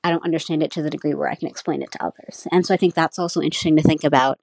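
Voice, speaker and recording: Low-voiced thoughtful young woman student. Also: steady tone, very fast, vocal fry, darkish tone, dry recording, poor audio. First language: Nepali. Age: young adult. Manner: thoughtful, searching, full of "um" and "like". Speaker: college student. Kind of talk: casual spoken class reflection.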